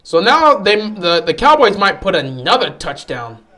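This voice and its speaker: A young man hosting a livestream, talking casually over a football game. His voice is hoarse and strained from a sore throat, and he sounds resigned and fed up. He sits a little high in his pitch range for a man. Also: sing-song, measured pace, sharp tone. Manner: frustrated, resigned rambling. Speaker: livestream host. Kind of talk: casual live game commentary.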